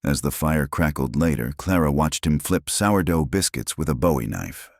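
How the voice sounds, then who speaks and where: Super deep guy narrating a western audio drama, steady pace, dry close mic. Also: gravelly, no room sound. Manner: measured storytelling.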